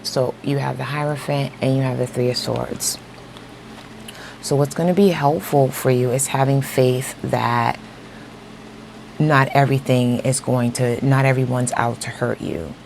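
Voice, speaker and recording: Very low gravelly-voiced woman reading tarot. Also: steady tone, unhurried, a little mellow, slight background noise, rough recording. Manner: talks straight to viewers, repeats "okay?" and "you know". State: losing her voice. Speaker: tarot reader. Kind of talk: casual tarot reading to viewers.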